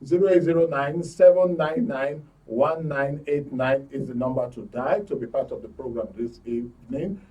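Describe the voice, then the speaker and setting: A man's voice: medium pitch, moderate pace, hardly any pauses, warm tone. Nigerian male radio host, dull distant sound. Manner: instructive, corrects callers. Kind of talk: live phone-in hosting. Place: radio studio.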